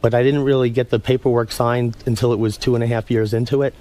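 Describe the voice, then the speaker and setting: Male interview guest, mild-mannered, quick pace, candid conversational storytelling. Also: medium pitch, little pitch movement, dark tone.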